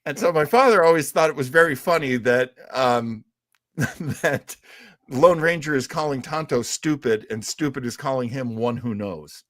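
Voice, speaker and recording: A man co-hosting a live talk show about old radio, telling its history as an expressive storyteller. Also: medium pitch, normal speed. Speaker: co-host.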